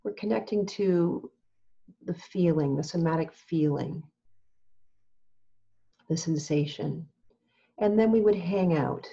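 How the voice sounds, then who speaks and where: Female meditation guide, lowish soft-edged voice, very unhurried and calm, slightly dull lo-fi recording. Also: creaky. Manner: calm, gentle, measured with long pauses. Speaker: meditation teacher.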